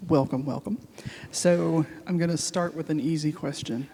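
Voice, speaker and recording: Man, event host, playful and informal, fairly high voice, hall reverb. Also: steady tone, moderate pace, dark tone, a little hiss or hum. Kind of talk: casual interview questions.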